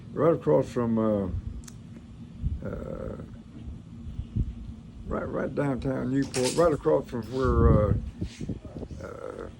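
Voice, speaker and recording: An older man, telling stories informally in a folksy, plain-spoken, rambling way, like a grandfather recalling his service. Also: medium pitch. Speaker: grandfather recounting his military past. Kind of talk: informal reminiscing, storytelling. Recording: noisy room, clear background noise.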